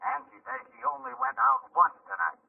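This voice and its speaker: Gravelly-voiced police lieutenant. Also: male voice, very high-pitched, huge pitch swings, a little fast, far from the mic, heavily acted. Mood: matter-of-fact. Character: matter-of-fact police lieutenant on the telephone. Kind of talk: 1940s radio acting. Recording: muffled, narrow old radio recording.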